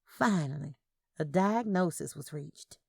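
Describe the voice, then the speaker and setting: Casting: female storyteller for audio fiction, very animated pitch, creaky edge. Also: unhurried, no room sound, studio quality. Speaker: audiobook narrator. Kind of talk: scripted narration of Southern Gothic fiction.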